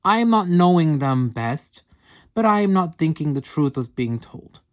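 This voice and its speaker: A woman's voice: low voice, sing-song, normal speed, darkish tone. A woman voicing an earnest young female yeti, reading her diary in stiff, formal, broken English. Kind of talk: reading diary entries aloud.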